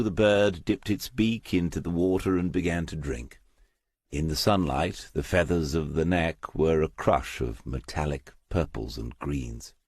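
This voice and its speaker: A man narrating crime fiction from a script in a measured, literary storytelling manner. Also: rumbling low, darkish tone, breathy edge, no room sound, studio quality. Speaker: audiobook narrator.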